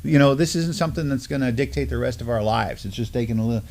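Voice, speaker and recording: A middle-aged man co-hosts a live news show from a desk in a small studio. He talks in a relaxed, casual way, with frequent fillers and self-corrections, at an everyday pitch. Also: quick pace, gravelly. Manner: relaxed, many fillers and restarts. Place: studio with mottled backdrop, at a desk.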